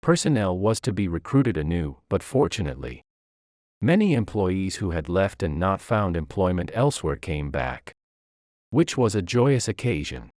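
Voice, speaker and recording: A man's voice: low-pitched, very animated, normal speed, a little mellow, no room sound, studio quality. A man reading a scripted first-person story as narrator.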